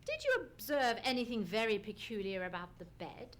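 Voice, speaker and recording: An actor playing a commanding, precise gentleman detective in an old-time radio play, with formal, emphatic diction. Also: medium pitch, huge pitch swings, normal speed, a little hiss or hum. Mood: musing, confiding. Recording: live stage radio play recording.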